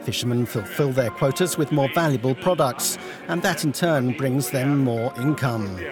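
A man's voice: medium pitch, normal speed, over music. A man reading scripted narration as a news documentary reporter.

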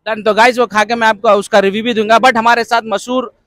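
A man's voice: sits high, level tone, fairly bright tone, no room sound. A man in his thirties with a Hyderabadi accent, hosting a casual vlog at a crowded expo with a handheld mic, jokey and teasing. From Hyderabad, India. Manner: jokey, teasing, quick to laugh. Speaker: vlogger host. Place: crowded indoor expo hall and outdoor food stall.

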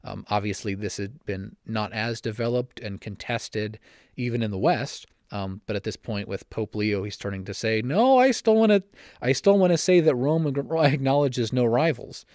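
A young man hosting a solo church-history podcast from home, slightly raspy and talking fast with frequent self-corrections. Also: mid-range voice, lively intonation, darkish tone, no echo, clean studio sound. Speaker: podcast host. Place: home room.

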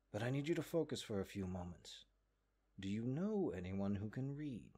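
A man's voice: mid-range voice, a little mellow. A man voicing a haughty, exasperated magic book in a comedic audio roleplay, condescending and sarcastic. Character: exasperated, haughty talking cursed grimoire, a sentient magic book.

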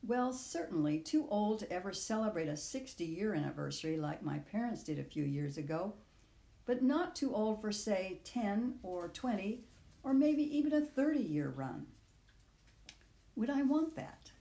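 An older woman in her sixties or beyond reads her own reflective personal essay aloud in a measured, literary way, with deliberate phrasing. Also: medium pitch, sing-song, vocal fry, warm tone, reverberant. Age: 60s or older. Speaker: author reading own audio essay.

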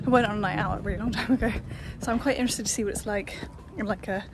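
A young woman talks casually into a phone held at arm's length, candid and chatty as she moves through an empty house and out onto a street. Her voice sits mid-pitched for a woman, and clear noise runs under the phone audio. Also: fairly even intonation, very fast. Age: young adult. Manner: chatty, candid, talks while walking around. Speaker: vlogger. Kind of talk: casual vlog narration. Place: empty house indoors, then outdoors on a street.

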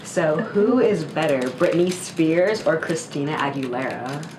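A teenager asking casual street-interview questions in a halting way, stumbling over words. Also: mid-range voice, animated, background noise. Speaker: student interviewer, host. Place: indoor school offices and hallways.

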